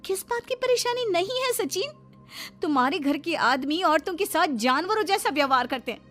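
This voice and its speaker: A woman's voice: very high-pitched, expressive, moderate pace, a bit bright, faint music behind, pitched above the performer's own voice. A woman voicing an outspoken, indignant young wife in a cartoon story, argumentative and sarcastic. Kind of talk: Hindi cartoon story dialogue.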